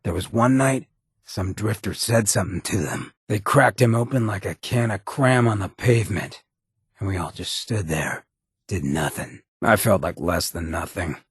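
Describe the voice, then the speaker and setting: An actor playing a roguish ghoul mayor in a video game, a swaggering former drifter with a very raspy, gravelly voice and a cocky, streetwise drawl. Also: male voice, lowish voice, moderate pace, dry recording, clean studio sound. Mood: brooding storytelling. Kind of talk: video game companion dialogue.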